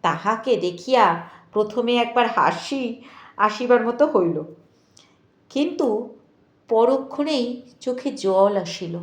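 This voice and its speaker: Woman reading a novel out loud, really slow n expressive, highish voice, echoey. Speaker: audiobook narrator. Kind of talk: dramatic literary novel narration. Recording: echoey voice with music behind. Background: music.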